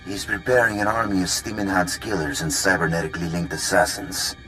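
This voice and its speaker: An actor playing a grave, courteous slaver boss in a video game, with a gravelly, measured, formal delivery. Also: male voice, deep voice, steady tone, bright, forceful tone, soft background music, rough recording. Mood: grave, foreboding.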